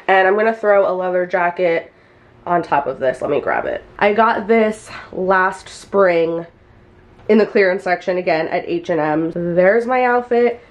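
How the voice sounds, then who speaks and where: Chatty young woman vlogging to camera. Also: mid-range voice. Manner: chatty, rambling, frequent "like" fillers. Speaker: hairstylist and vlogger. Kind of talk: casual vlog chat to camera. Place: small home rooms, then parked car. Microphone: handheld camera at arm's length.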